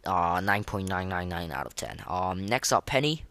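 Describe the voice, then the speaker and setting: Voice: deep voice, fairly bright tone. A podcast host giving casual, unscripted game commentary in a hesitant, rambling way, with opinionated, slangy wording. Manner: hesitant, halting, rambling delivery.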